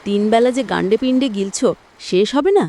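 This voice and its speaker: A woman voicing a sharp-tongued, nagging village wife in a cartoon. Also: fairly high pitch, fast, slight background noise, close to an everyday voice. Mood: scolding. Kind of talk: animated cartoon dialogue.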